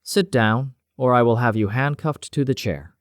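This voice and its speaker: Man doing a female spy chief: icy, slow, commanding, pitched up. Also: dry recording, clean studio sound, close to an everyday voice. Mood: cold. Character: cold, authoritative female security officer.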